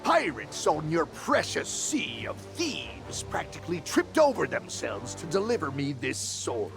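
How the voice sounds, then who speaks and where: Gravelly, gloating ghost pirate villain. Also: male voice, fairly high pitch, huge pitch swings, measured pace, heavily acted. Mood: gloating, scheming. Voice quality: gravelly, creaky. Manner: grandiose, relishing each word, sneering. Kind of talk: video game villain acting. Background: prominent music.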